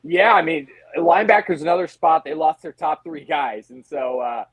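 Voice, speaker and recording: A man's voice: fairly high pitch, expressive, normal speed, husky, no echo, poor audio. A man speaking as a guest sports reporter, giving casual analysis in a conversational way, often voicing rhetorical questions. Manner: conversational, talks in acted-out questions and asides.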